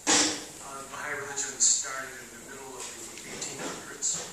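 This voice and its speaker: A soft-spoken man speaking as a panelist at a public meeting, hesitant, with many fillers and restarts. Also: medium pitch, level tone, normal speed. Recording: echoey hall from far off, noisy.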